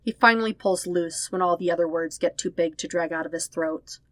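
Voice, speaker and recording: A woman reading a story as a scripted narration, expressive and leaning on the emotional words. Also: lowish voice, brisk, no echo. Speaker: fan fiction podfic reader.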